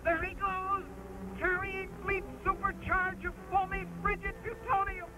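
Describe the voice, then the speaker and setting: High-pitched man, theatrical storybook narrator. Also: little pitch movement, unhurried, rough recording. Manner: theatrical, emphatic. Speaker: narrator, live stream host. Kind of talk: dramatic reading, old-fashioned children's adventure narration. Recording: music under the narration.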